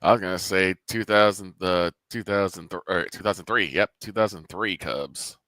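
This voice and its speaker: A male co-commentator with a low voice and a crisp, forceful edge. He chats casually about sports, recorded close and dry. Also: normal speed, no echo.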